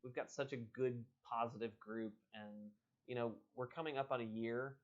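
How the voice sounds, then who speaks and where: A man's voice: medium pitch, fairly even intonation, moderate pace, dull audio. A man hosting a casual live stream, chatting and reading a story aloud. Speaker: host and book reader.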